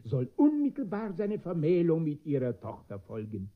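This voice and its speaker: An actor playing a sly, solemn trickster in a German radio play, flattering a gullible master in a theatrical, portentous, insinuating way. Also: male voice, fairly high pitch, moderate pace. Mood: conspiratorial, grand. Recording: dull, muffled old radio recording.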